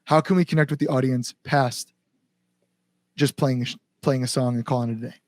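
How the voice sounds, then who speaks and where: A man's voice: mid-range voice, fairly even intonation, a little fast, darkish tone, no echo. An American man speaking as an interviewed band front-man, casual and earnest, with informal phrasing. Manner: earnest, informal with mild swearing.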